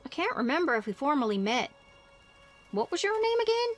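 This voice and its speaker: Female NPC: friendly young woman, high pitch, very lively intonation, chatty and curious. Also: a little fast, soft background music. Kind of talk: video game dialogue acting.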